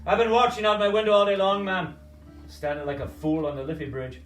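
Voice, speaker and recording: A man's voice: high voice, lively intonation, a little fast, fairly bright tone, roomy sound, recorded from a distance, soft background music, voice pushed up. A man playing a hearty innkeeper. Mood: scolding. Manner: brusque, scolding, jovial. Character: hearty older Irish male innkeeper.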